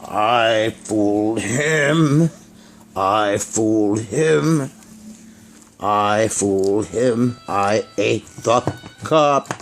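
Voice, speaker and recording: A man voicing a greedy, gleeful garbage can in a children's puppet show, speaking slowly and repetitively. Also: fairly low pitch, pitched below the performer's own voice. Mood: smug, gleeful. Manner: slow, repetitive, savoring delivery.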